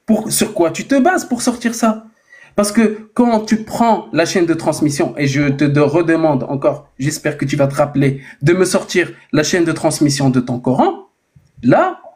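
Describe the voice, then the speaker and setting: A man's voice: on the high side, expressive, normal speed, a little mellow. A man in a live online debate, pressing his opponent with pointed, repeated questions. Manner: challenging, insistent questioning. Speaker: debate participant.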